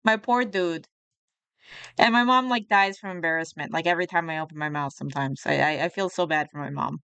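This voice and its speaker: A woman's voice: low voice, brisk, fairly bright tone, clear, resonant tone, no room sound. A young woman streaming live, chatting casually about herself and reacting to a video, often laughing and poking fun at herself.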